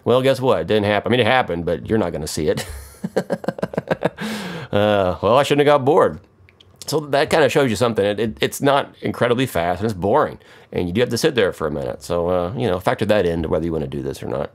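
A man's voice: on the low side, expressive, fast. A middle-aged American man giving casual, off-the-cuff how-to commentary in a wry, joking, self-mocking way. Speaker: electronics hobbyist, video host.

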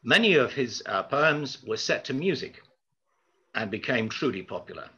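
Older male lecturer with a husky, bright voice. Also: medium pitch, very animated. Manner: measured and formal, with hesitant pauses. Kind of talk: prepared lecture. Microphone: video call. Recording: slightly dull video call audio.

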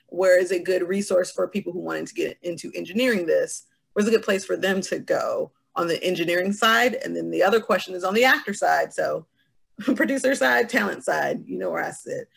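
Woman, thirties, heavy vocal fry, husky. Casual panel moderator on a video call. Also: on the low side, a bit bright. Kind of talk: casual moderating, relaying audience questions. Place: room at home, indoors.

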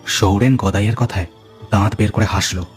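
A man's voice: on the low side, fairly even intonation, moderate pace, soft background music. A man telling a cartoon ghost story as narrator, with dramatic scripted delivery.

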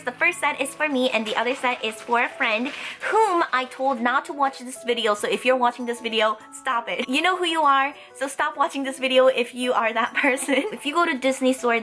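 A young woman chatting casually and excitably as a haul vlog host, slightly stuffed up from a cold. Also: sits high. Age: young adult. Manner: bubbly, excitable. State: stuffy, getting over a cold. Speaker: host of a toy haul channel. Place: indoor room lined with plush toys.

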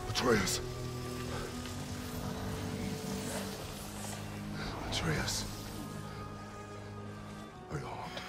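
Gravelly middle-aged warrior patriarch, guarded and commanding, hugely varied pitch, game drama with loud music. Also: male voice, wildly swooping pitch, slow, exaggerated voice. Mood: subdued concern. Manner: clipped, commanding, formal phrasing. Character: stern, imposing middle-aged warrior father, gruff and guarded. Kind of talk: dramatic video game acting.

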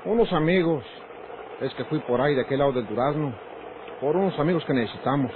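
Actor as high-voiced, bossy Mexican ranch man. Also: animated, fast, close to an everyday voice. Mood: guarded. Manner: gruff, rustic, threatening. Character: bossy, short-tempered ranch man keeping secrets from his woman. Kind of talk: old radio drama acting. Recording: noisy, tinny old radio recording.

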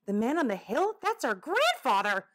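A woman plays a young girl in a storybook, curious and full of wonder. Her voice is high, with big swings in pitch. She is polite and eager. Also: sing-song, normal speed, fairly bright tone. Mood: surprised. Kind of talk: children's storybook character voice.

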